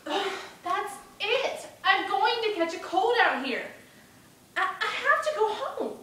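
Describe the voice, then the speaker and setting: A woman's voice: very high-pitched, big pitch swings, slow pace, sharp tone, pitched above the performer's own voice, exaggerated voice. A woman voicing a naive, impatient young boy in an earnest, emphatic dramatic storytelling performance. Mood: exasperated. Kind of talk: dramatic storytelling for speech competition. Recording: echoey room, distant mic, faint noise.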